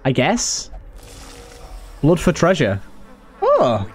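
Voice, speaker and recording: A man's voice: on the high side, wildly swooping pitch, extremely slow, breathy edge. A man giving casual, informal let's play commentary, thinking aloud with some mild swearing. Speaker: gaming video host.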